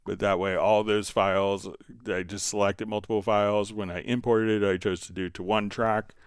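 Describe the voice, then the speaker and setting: A man hosting a live stream, casually explaining software step by step while answering viewer questions. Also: lowish voice, flat delivery, moderate pace, clear, resonant tone, no room sound, clean studio sound.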